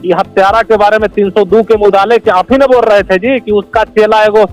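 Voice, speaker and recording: A man's voice: high voice, fairly even intonation, fairly quick. A man with a Bihari accent in a heated phone argument, insistent and accusatory, repeating his demands. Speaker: monk, head of a monastery, complaining caller. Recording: phone call recording with music behind.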